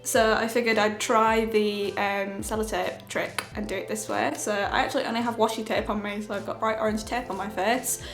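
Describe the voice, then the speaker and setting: A woman's voice: mid-range voice, level tone, moderate pace. A young woman with a cold, chatting through a makeup tutorial with lots of giggles and fillers. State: has a cold. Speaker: beauty vlogger. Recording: echoey room with light music.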